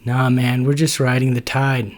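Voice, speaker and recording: A man's voice: medium pitch, moderate pace, darkish tone, husky, voice pushed up. A man voicing a cool, laid-back female neutrophil sailor with surfer slang. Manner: coolly upbeat, slangy.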